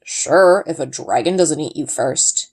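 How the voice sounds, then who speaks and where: A woman's voice: low voice, a little slow, darkish tone, slightly rough, exaggerated voice. A woman voicing a scornful, mocking boy rival in a children's storybook. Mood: sneering. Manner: mocking, dismissive.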